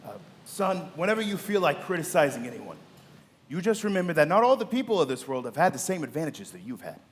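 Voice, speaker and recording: An actor playing hearty, self-important well-off men in a live radio play. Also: male voice, a little high, very animated, fast talker, breathy edge. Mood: advising.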